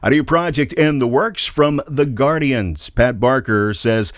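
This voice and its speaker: A man's voice: mid-range voice, unhurried, no echo, clean studio sound. Man hosting a gospel news update. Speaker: news show host. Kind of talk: scripted news narration.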